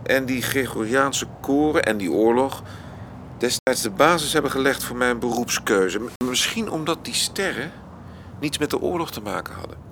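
An actor in a radio play voicing a polite, reflective young male astronomer who speaks in a halting, understated way. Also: medium pitch, animated, fairly bright tone, slight background noise, close to an everyday voice.

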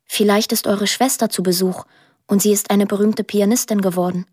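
A woman playing a lonely, dreamy twelve-year-old girl in a quiet, poetic radio drama monologue. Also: mid-range voice, steady tone, moderate pace, husky, dry recording, studio quality, lightly acted. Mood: tender, lonely.

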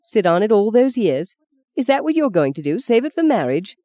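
A woman reading a story, voicing a sharp-tongued, defiant young woman who is a budding actress, in a cutting, exasperated manner. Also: fairly low pitch, very animated, moderate pace. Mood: teasing.

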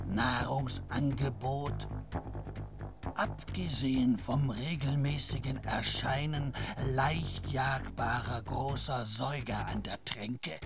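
Slow, gravelly, clinical predator. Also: male voice, mid-range voice. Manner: clinical, measured, detached. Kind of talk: radio drama monologue, clinical report.

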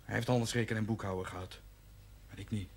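A man's voice: on the low side, fairly even intonation, quick pace, creaky. An actor playing a defiant, resentful young working man in a television drama, blunt and combative. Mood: bitter, subdued. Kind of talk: 1970s television drama acting.

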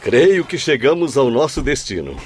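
An actor playing a calm, courteous and learned male spirit guide in a radio drama, formal and explanatory. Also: mid-range voice, moderate pace, slight background noise, lightly acted. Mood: calm explaining.

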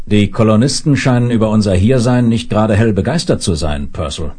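Actor as deep, grave space commander. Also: male voice, mellow, natural voice. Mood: guarded. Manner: measured, formal. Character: grave, authoritative male space administrator narrating his adventure. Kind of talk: dramatised narration, 1970s German radio play. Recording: noisy 1970s radio play recording.

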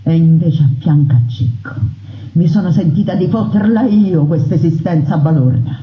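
A woman's voice: lowish voice, moderate pace. A woman performing a theatrical monologue as a defiant peasant brigand woman, speaking with impassioned, declamatory force. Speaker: narrator voicing a brigand woman. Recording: roomy dull radio broadcast.

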